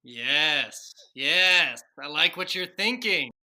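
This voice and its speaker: A man playing a vain, excitable president in a radio comedy sketch, speaking pompously and emphatically. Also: on the high side, slow, exaggerated voice. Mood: grandiose, excited. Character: vain, excitable male president George Washington. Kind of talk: old-time radio sketch comedy parody.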